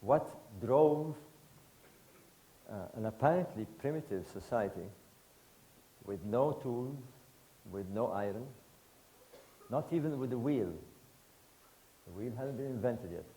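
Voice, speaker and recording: A man in his sixties from Egypt, giving a public lecture to an audience in emphatic, rhetorical bursts of short phrases with pauses. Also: medium pitch, expressive, warm tone, poor audio. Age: 60s.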